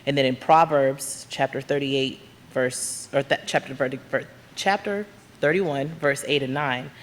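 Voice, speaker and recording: Loud earnest woman from Georgia, echoing sanctuary. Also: a bass voice, a little slow. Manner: loud, earnest, speaking from the heart. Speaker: guest speaker, labor union activist. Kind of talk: speech to a church congregation. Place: church sanctuary. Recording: long hall reverb, faint room noise.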